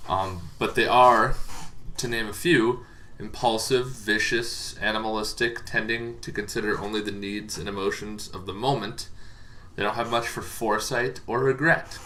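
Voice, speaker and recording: Bright-voiced man, lowish pitch, casual explainer. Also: steady tone, moderate pace, slight background noise. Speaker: host of a tabletop gaming lore show. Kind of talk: casual explainer talk to viewers.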